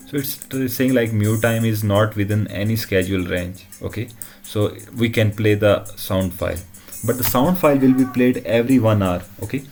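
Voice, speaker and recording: A man presenting an informal technical demo in a halting way, often checking in with short tag questions. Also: on the low side, vocal fry, a little mellow. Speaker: tutorial presenter.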